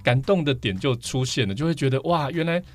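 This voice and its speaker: A man's voice: medium pitch, animated, brisk. A man with a Taiwanese Mandarin accent explaining things enthusiastically as a guest in a conversation. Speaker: podcast guest, vinyl collector. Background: background music.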